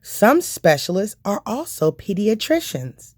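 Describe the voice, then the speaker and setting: A woman gives a scripted storybook narration for children. Her reading is unhurried and carefully phrased, with animated intonation. The sound is dry and close. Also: medium pitch, no echo. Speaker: read-aloud narrator for children.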